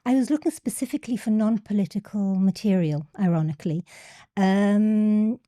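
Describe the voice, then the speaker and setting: A woman's voice: medium pitch, moderate pace, dark tone, no room sound, studio quality. A middle-aged woman with an English accent, a podcast guest speaking hesitantly and often repeating words. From England. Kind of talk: casual interview.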